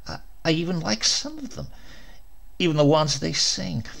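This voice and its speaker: A man's voice: fairly high pitch, big pitch swings, moderate pace, creaky, a bit bright, voice pushed up, heavily acted. Man voicing a forlorn, lilting housewife. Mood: strangely dreamy. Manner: dull, forlorn, strange. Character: Anne, lonely worn-down housewife, wistful and dazed.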